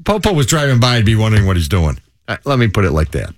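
Radio co-host, male, wisecracking banter, animated swinging delivery, dry close studio sound. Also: on the low side, fast talker, no echo. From Ireland. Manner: wisecracking, rhetorical "right?" and "okay?". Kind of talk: joking banter about crime news.

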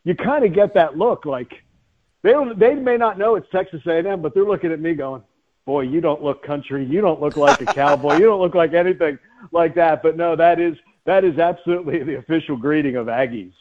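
A man's voice: a little high, a little fast. An American man, a play-by-play announcer, chatting casually as a radio guest over a guest line. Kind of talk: casual joking interview chat. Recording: slightly dull lo-fi guest line.

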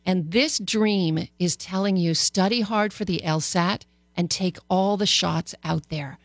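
A woman hosting a radio call-in show as a psychologist, giving animated, encouraging advice. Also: on the low side, unhurried, no echo. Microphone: studio microphone.

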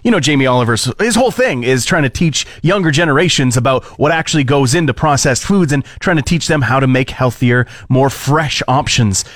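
A man's voice: medium pitch, fairly quick, hardly any pauses, a bit bright, no room sound. Dry-sounding Canadian man hosting a podcast. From Alberta, Canada. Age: 30s. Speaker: podcast host. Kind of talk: casual entertainment news and chat.

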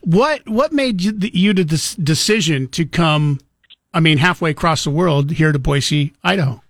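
A male interviewer asking long, rambling questions with halting restarts. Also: a little high, animated, fairly bright tone, no room sound, clean studio sound.

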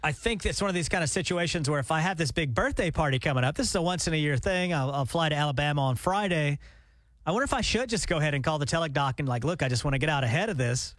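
A man co-hosting a radio show, chatty and quick to laugh, his voice nasal from stuffed-up sinuses. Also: fairly high pitch, animated, a bit bright, no room sound. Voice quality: nasal, congested. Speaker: radio co-host. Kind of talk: casual radio banter.

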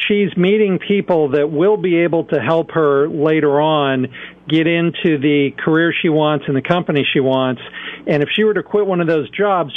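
Middle-aged dad on a phone line, tinny and dry, fairly high voice, thinks out loud calmly. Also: male voice. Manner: measured, thoughtful, with some restarts. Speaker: parent guest on a call-in show. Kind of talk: reflective conversational talk. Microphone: telephone.

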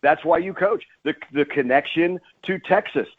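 A man's voice: a little high, moderate pace, husky. A man speaking as a radio guest and play-by-play announcer, giving emphatic sports commentary and repeating phrases for stress. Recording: phone-like narrow-band radio line.

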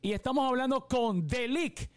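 A man's voice: very high voice, moderate pace, dry recording, clean studio sound. A Puerto Rican man hosting a live radio interview, enthusiastic and chatty, with many fillers. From Puerto Rico. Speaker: radio host.

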